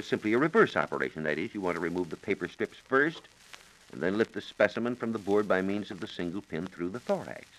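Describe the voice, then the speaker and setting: A male science reporter speaks on a vintage 1940s radio recording. His low voice moves through a wide, animated range of pitch. He explains things methodically, in careful and formal phrasing. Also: rough recording.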